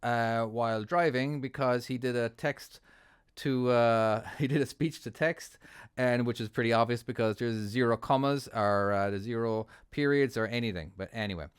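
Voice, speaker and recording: A man's voice: medium pitch, moderate pace, clear, resonant tone, dry recording, studio quality. A man with an Irish accent hosting his own channel, chatting casually and laughing often while narrating a truck-driving game. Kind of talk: casual gameplay commentary.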